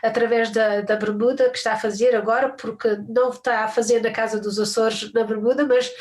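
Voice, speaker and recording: A woman's voice: on the high side, steady tone, a little fast. Chatty older woman hosting a live stream. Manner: warm thankful sign-off, frequent restarts and little laughs. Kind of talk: casual live-stream chat. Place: home, on a video call.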